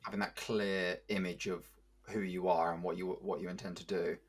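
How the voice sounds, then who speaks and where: A man's voice: low voice, flat delivery, normal speed, crowd noise. Conversational male podcast interviewer. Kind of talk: conversational questioning.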